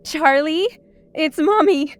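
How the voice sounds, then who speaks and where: A woman's voice: high voice, slow pace, a little hiss or hum. An actress playing a frightened, desperate mother searching for her child in a horror audio drama, with a trembling delivery. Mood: desperate hope.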